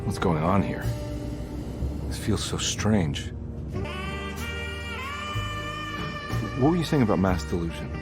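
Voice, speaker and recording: An actor playing a weary, haunted private detective in a horror video game, terse and wry but dogged. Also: male voice, low-pitched, very animated, moderate pace. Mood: weary, dazed. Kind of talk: dramatic video game cutscene acting. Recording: music under the voice. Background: game music.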